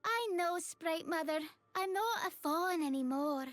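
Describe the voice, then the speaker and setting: Timid lil fawn spirit girl, super high dreamy voice, game character, music behind. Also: expressive, a little slow, a bit bright, full character voice. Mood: earnest, dutiful. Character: shy, gentle young female fawn dream spirit. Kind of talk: video game character voice lines.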